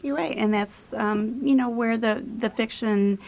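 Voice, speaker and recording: A woman's voice: fairly high pitch, a little slow, vocal fry, a little mellow. A woman speaking casually as an author being interviewed, often chuckling as she talks. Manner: often chuckles while talking.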